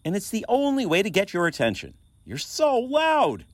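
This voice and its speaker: A man's voice: sits high, wildly swooping pitch, moderate pace, no echo. A man playing a grumpy, lonely male ghost in an audio play, complaining with dry comedy. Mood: exasperated. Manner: complaining, dryly comic.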